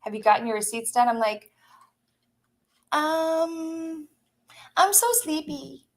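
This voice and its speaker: A bubbly woman chatting casually as co-host, gushing and quick to laugh. Her voice sits a bit high. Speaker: co-host of a reseller chat show. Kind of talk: casual chat.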